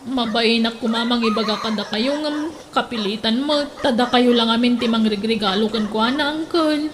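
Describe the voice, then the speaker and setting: A woman's voice: on the high side, normal speed, background noise, close to an everyday voice. A woman with an Ilocano accent playing an exasperated young wife in a radio drama, with a complaining, pleading tone.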